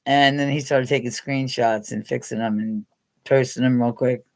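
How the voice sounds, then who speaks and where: A woman's voice: a bass voice, fairly even intonation, normal speed. Middle-aged American woman, chatty livestream host, rambling and giggly. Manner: rambling, chatty, laughs often, talks straight to viewers by name. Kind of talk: casual live chat with viewers.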